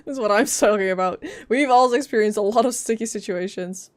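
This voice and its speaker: A woman's voice: medium pitch, brisk. A woman chatting casually on a game livestream, joking and talking back to the characters.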